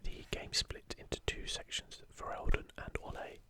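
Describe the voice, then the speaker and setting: Hushed, breathy ASMR whisper. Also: moderate pace. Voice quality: soft, breathy unvoiced whisper. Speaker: video host. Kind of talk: whispered ASMR, leafing through and commenting on an art book.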